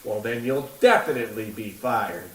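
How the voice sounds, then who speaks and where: A man's voice: lowish voice, expressive, normal speed, a bit bright. A man playing a bullying baseball coach with a stern, scolding manner in a comedy radio play. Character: gruff, bullying small-town baseball coach. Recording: distant, echoey room with faint noise.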